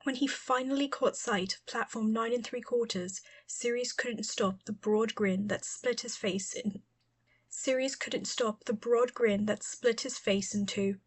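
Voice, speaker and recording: A woman's voice: on the high side, unhurried, breathy edge. A woman reading a scripted story as an audiobook narrator.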